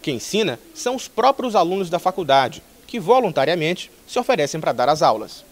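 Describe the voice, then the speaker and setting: A man's voice: on the high side, sing-song, brisk. A man narrating a scripted TV news report.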